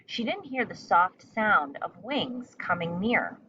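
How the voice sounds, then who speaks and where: A woman narrates a children's story in a read-aloud style, at a middle pitch. The recording is slightly dull, with faint noise behind her. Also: very animated, takes it slowly, pushed and bright, far from the mic. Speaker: storybook reader.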